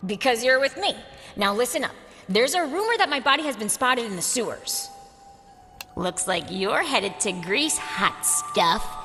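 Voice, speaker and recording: A woman's voice: on the high side, animated, unhurried, pushed and bright. Sassy, swooping gorgon woman. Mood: bossy, playful. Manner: teasing, sarcastic, brash delivery. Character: sassy, flirtatious snake-haired gorgon woman without a body. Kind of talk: video game character acting. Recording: long reverb, faint music underneath.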